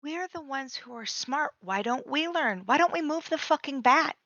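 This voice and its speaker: A woman playing a tabletop game who talks in an animated, emphatic way, heard through a dull, lo-fi mic. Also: high-pitched, normal speed. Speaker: player.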